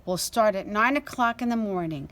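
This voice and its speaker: A woman's voice: medium pitch, expressive, normal speed, fairly bright tone, close to an everyday voice. A woman voicing a boastful, overconfident male hare in a children's storybook, with a smug, breezy manner. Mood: challenging.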